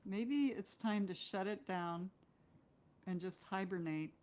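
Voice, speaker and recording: A woman's voice: mid-range voice, slow pace, darkish tone. A woman chatting casually as an interview guest, candid and given to questioning herself. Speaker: guest actress.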